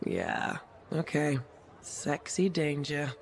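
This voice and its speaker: A sarcastic troublemaker merc in a game, lowish voice, casual, noisy ambience. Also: female voice, sing-song, takes it slowly, gravelly, full character voice. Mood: reluctant, cheeky. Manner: sardonic, casual. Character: cheeky, sardonic female troublemaker freelancer. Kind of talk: game dialogue acting.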